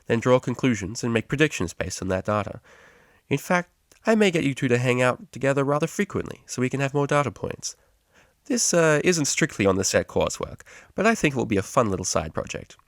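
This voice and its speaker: A man's voice: medium pitch, very animated, fairly quick, no room sound, clean studio sound, pitched above the performer's own voice. A man voicing an easygoing, enthusiastic male pony tutor in a storybook reading, explaining things patiently and jovially.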